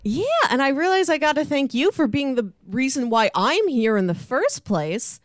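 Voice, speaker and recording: Lively-pitched woman hosting a podcast. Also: normal speed, no echo, clean studio sound. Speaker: podcast host. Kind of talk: casual podcast chat.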